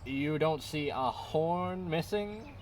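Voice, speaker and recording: A woman's voice: low voice, lively intonation, takes it slowly, a little mellow, a little hiss or hum. Woman doing a scornful, drawling rhinoceros. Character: blunt, superior male rhinoceros.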